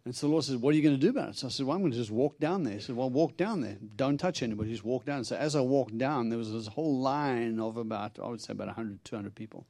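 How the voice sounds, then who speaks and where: A man's voice: medium pitch, fast, hardly any pauses, warm tone, dry recording. A middle-aged man from South Africa with a Texan accent, preaching a chatty, humorous sermon and acting out his talks with God. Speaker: visiting preacher. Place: church.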